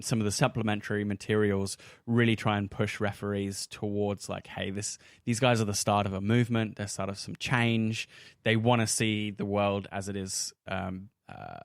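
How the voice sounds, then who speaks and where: An Australian man with a clear, smooth voice pitched on the low side talks casually as a podcast host. He pauses and restarts while he hunts for words. The sound is dry, with very little room in it. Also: no room sound. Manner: hesitant, searching for words. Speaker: podcast host and game referee. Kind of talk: casual round-table chat.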